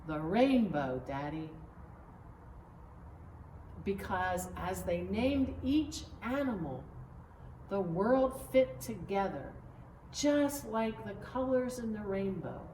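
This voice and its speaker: A woman pastor reading a children's story aloud in an expressive, measured storytelling manner. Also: mid-range voice. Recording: roomy echo, distant mic, faint noise.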